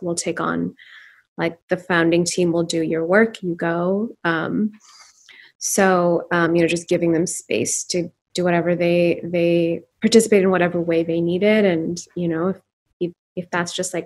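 Earnest woman founder from Pakistan. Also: on the low side. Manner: earnest, thoughtful, with frequent ums. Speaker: company founder, guest. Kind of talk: interview conversation.

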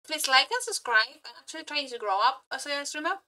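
High, bright-voiced woman, gushing fangirl streamer. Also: lively intonation. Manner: gushing, flirty, giggly fangirl; halting, scattered, repeating words. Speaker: aspiring VTuber and streamer. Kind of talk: casual reaction chat to viewers.

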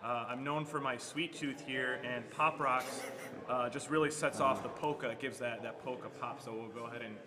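A man's voice: medium pitch, fairly even intonation, moderate pace. Easygoing joking guy, stream co-host, crowd noise in the back. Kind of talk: casual chat.

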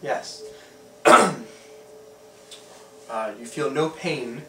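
A man's voice: medium pitch, drawn-out words, fairly bright tone. A young man hosting a home science demonstration, earnest and explaining things step by step, often restarting his sentences. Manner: earnest, with frequent restarts and throat clearing. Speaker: video host. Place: small room at home. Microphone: camera mic from a few feet away. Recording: roomy echo from a far camera mic, hiss.

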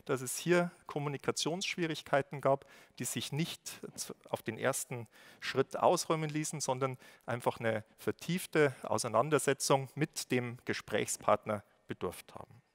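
A man in his forties with a Bavarian accent delivers a formal welcome address from a lectern. His pitch sits in the middle. He reads at a measured pace, with the occasional hesitation. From Lower Bavaria. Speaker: city education official giving a welcome speech. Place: stage at a lectern, indoors. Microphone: lectern microphone.

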